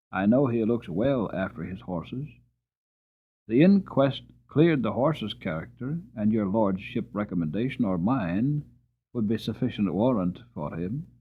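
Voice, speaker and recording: A man voicing a respectful, practical servant in a storybook, speaking in a measured, deferential way. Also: mid-range voice, gravelly, slightly rough, narrow, tinny audio, full character voice. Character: deferential, practical male stable servant of an earl.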